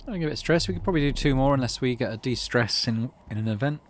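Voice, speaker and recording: A man's voice: medium pitch, fast talker. A man hosting a game playthrough, reading story text aloud expressively and adding casual asides. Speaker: gaming video host.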